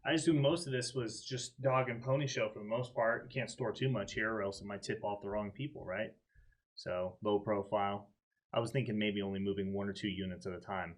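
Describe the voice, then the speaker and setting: Low-voiced man playing a tabletop game. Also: fairly even intonation, a little fast, low-quality recording. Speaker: player in a tabletop roleplaying game. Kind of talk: casual in-character roleplay and table chat.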